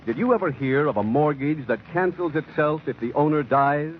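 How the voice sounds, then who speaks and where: A man's voice: fairly high pitch, lively intonation, normal speed. An American man announcing a sponsored radio show in a formal 1940s broadcast style. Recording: tinny, band-limited 1940s radio recording. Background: music.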